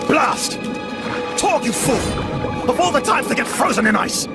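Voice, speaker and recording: A man's voice: extremely high pitch, expressive, a little slow. An actor playing a gloating, boastful male undersea warlord villain in a video game cutscene. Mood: furious. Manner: theatrical, snarling and boastful. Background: game music.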